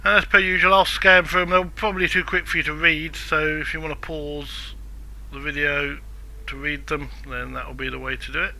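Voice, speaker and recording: A man's voice: on the high side, level tone, fairly quick, creaky, sharp tone, low-quality recording. A man casually commenting on a game walkthrough, chatty and self-deprecating. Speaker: gameplay walkthrough narrator.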